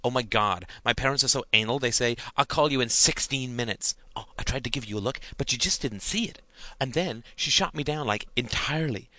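A man's voice: medium pitch, fairly quick, pushed and bright, a little hiss or hum, pitched above the performer's own voice, natural voice. A man voicing a gossipy, giggly teenage girl chatting in slangy audiobook dialogue. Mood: gossipy, excited. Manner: chatty, slangy, girlish delivery.

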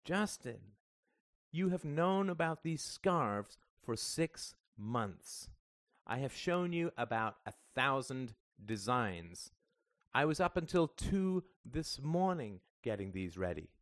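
A man's voice: mid-range voice, big pitch swings, slow, no echo, studio quality, pitched above the performer's own voice. A man voicing an exasperated, scornful mother who scolds and pleads in a wounded way. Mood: exasperated, impatient. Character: exasperated, scornful mother fussing over stage costumes.

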